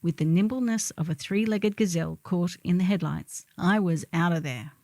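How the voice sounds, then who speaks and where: A woman's voice: lowish voice, normal speed, darkish tone, no echo, studio quality. A middle-aged woman reading a humorous first-person column in a wry, theatrical storytelling manner.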